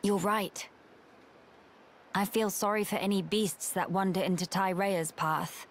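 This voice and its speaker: An actress playing an earnest young noblewoman in a video game, speaking in formal, measured diction. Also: mid-range voice, steady tone, a little hiss or hum, natural voice.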